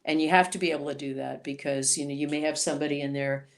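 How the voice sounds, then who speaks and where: A woman singer-songwriter being interviewed on a podcast, talking in an animated, chatty way. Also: sits low, quick pace. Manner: animated, chatty storyteller, voices quoted reactions.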